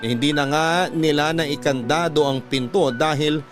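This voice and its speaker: Slightly high-voiced Filipino male radio storyteller. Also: steady tone, moderate pace, soft background music. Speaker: storyteller reading a listener's letter. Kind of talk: scripted dramatic radio narration.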